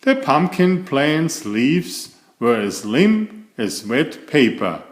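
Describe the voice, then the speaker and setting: Slow, lilting male storyteller in echoey room. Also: a little high, sing-song. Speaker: storyteller, children's author and channel host. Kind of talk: read-aloud children's bedtime story narration.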